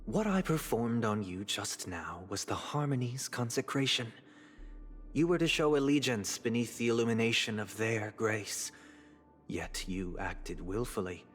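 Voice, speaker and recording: Suave sanctimonious villain for a game, low pitch, coldly formal delivery over music. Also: male voice, fairly bright tone. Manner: formal, measured, silkily menacing. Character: suave, coldly cunning male villain, sanctimonious clergyman-like authority. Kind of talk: dramatic video game voice acting. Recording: music behind the voice.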